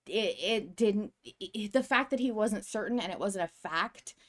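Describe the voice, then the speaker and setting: A woman's voice: mid-range voice, lively intonation, moderate pace. A woman co-hosting a podcast in casual fan discussion, thinking aloud with emphasis. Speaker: podcast co-host.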